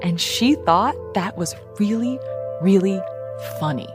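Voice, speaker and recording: An actress playing a wry, nostalgic radio journalist, narrating a scripted audio drama podcast. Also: female voice, mid-range voice, expressive, takes it slowly, music in the background. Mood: reflective.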